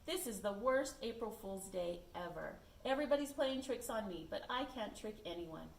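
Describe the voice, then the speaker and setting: A woman voicing an eager, put-upon schoolboy prankster in a storybook, expressive and exclaiming. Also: medium pitch, normal speed, vocal fry. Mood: exasperated. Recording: echoey room, distant mic, background noise.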